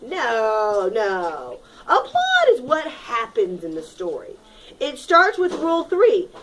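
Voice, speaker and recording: A woman's voice: a little high, huge pitch swings, slow pace, very noisy. A woman teacher reading a storybook aloud expressively, stressing points and putting questions to her pupils in an instructive way. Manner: didactic, dramatic emphasis. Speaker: elementary school reading teacher.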